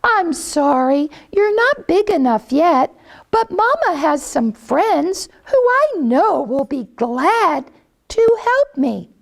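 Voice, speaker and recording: A woman's voice: very high voice, sing-song, slow, gravelly, voice pushed up, exaggerated voice. A woman voicing a kind, hardworking mother hen in a children's story, with a sweet, coaxing manner.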